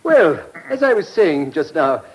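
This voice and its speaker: A man's voice: a little high, sing-song, measured pace, slight background noise, rough recording. An actor in a British radio drama playing a fussy, courteous village council chairman who laments change.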